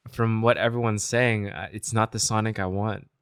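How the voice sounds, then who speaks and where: A man's voice: lowish voice, little pitch movement, normal speed, fairly bright tone, clean tone, dry recording, clean studio sound. A man taking part in a podcast discussion as a panelist, chatting casually. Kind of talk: casual chat.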